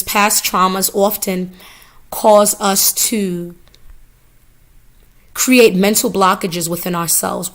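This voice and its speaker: A hoarse woman giving a live oracle card reading, talking casually with swearing and repeated phrases. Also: medium pitch, fairly bright tone. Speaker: spiritual reader.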